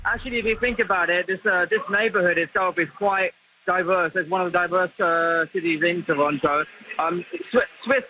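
British bar manager, quick and excited, phoned in from a packed noisy bar. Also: male voice, high voice. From Britain. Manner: excited, rambling with restarts. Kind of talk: live phone interview. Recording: phone line with noisy crowd behind. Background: crowded bar noise.

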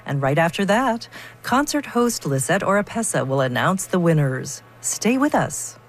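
A woman hosting a radio broadcast, reading scripted announcements in a polished, measured way. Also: lowish voice, big pitch swings.

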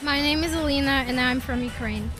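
A teenage girl interviewed at a festival, earnest and a little halting. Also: sits high. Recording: music playing behind.

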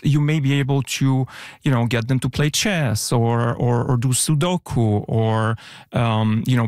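A man speaks as an expert guest on a radio show, explaining things steadily and measuredly, with frequent ums and uhs. His voice sits at a middling pitch and the studio sound is dry and close. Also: a little mellow, no echo. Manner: measured, explanatory, many fillers. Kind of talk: radio interview.

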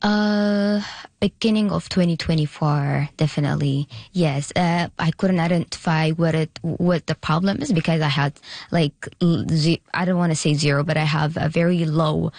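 A young woman from Eritrea, raised in Sudan, speaking as a guest in a radio studio interview, halting and often restarting her sentences. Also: low-pitched, fairly even intonation, a little mellow, no echo. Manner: halting, frequent restarts and hesitations. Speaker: guest, pageant contestant.

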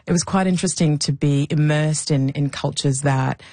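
Low-key gravelly woman in conversation. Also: normal speed, darkish tone, dry recording. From Zambia. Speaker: documentary maker and historian guest. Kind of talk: conversational interview answers.

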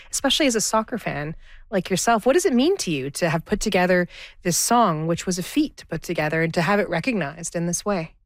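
A woman interviewing a guest, asking clear and friendly questions. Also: on the low side, dry recording, studio quality.

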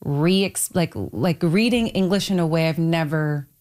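A woman's voice: deep voice, a little slow, darkish tone. A woman talking casually about books in a reflective, candid and emphatic way. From Toronto, Canada. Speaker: book club member on a discussion panel. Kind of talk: casual book discussion.

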